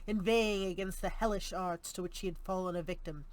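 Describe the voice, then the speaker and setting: A woman's voice: fairly low pitch, fairly even intonation, a little fast, low-quality recording. A woman reading a gothic novel aloud as scripted audiobook narration. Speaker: audiobook reader.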